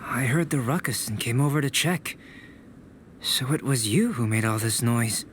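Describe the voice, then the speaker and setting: A composed young alchemist mentor, voiced by a man, with an even, mid-pitched voice. He speaks calmly and with measured care, softly reproachful. Faint ambience sits behind him. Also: slight background noise, lightly acted. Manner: calm, measured, quietly reproachful. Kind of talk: video game voice acting.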